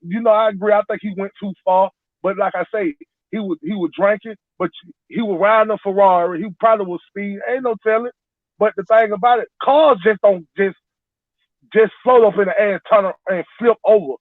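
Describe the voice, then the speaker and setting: Male caller, 40s, defensive and rambling; high pitch, thin phone-line sound. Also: brisk, no room sound. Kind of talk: heated casual debate. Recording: tinny phone line, dry.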